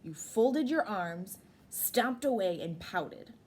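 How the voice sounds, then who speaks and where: A woman's voice: mid-range voice, animated, takes it slowly, a little mellow, slightly rough. A woman reading the part of a patient mother explaining things to her children in a picture book. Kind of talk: picture book read-aloud. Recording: noisy background.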